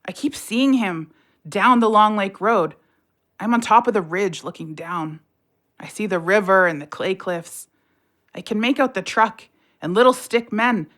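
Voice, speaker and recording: A woman's voice: medium pitch, a bit bright, natural voice. An actress playing a bitter, sardonic widowed mother of three in a naturalistic audio play, blunt and colloquial in her talk. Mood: haunted, distressed. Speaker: voice actor in audio drama.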